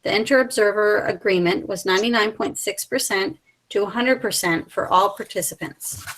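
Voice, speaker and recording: A woman in her forties reading a class research presentation, steady, with small slips. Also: mid-range voice, moderate pace, low-quality recording. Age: 40s. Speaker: graduate student presenter.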